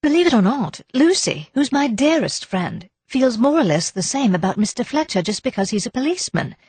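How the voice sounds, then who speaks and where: A woman's voice: high-pitched, big pitch swings, a little fast, a little mellow, breathy edge, no echo, voice pushed up, heavily acted. Woman acting as spirited young Englishwoman, forthright, well-bred, emphatic, audiobook character, dry close sound. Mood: frank.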